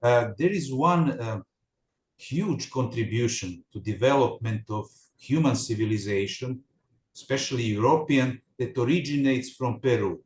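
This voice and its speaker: Man, English, halting and searching for words; recorded in an echoey room. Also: mid-range voice. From Serbia. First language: Serbian. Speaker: student in an online English class. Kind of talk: casual conversation class.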